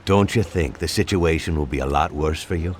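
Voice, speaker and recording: A man's voice: extremely low pitch, brisk, slight background noise. An actor voicing a skeptical male police detective in a video game who presses a witness with questions. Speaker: game character.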